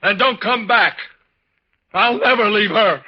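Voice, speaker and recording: An actor playing an obsessed husband entranced by a phantom, intense and melodramatic in a 1950s radio horror style. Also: male voice, sits high, measured pace, very noisy. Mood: obsessed, hostile. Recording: dull, lo-fi vintage radio recording.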